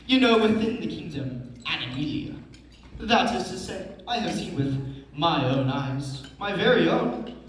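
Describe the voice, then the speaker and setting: A man playing a prim, long-winded traveling gentleman who talks in flowery exclamations and dotes on his cat, on stage. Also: fairly high pitch. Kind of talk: stage readers theatre performance. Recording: very echoey hall, far mic, noisy.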